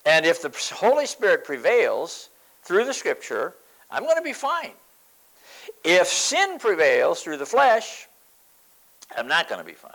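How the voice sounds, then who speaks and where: An older man in his seventies preaches with an emphatic, repeating style. His voice sits a little high and swings widely in pitch as he presses each point home. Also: wildly swooping pitch, a little slow, long pauses, fairly bright tone, rough recording. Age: 70s. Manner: emphatic, repeats phrases for stress. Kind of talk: sermon.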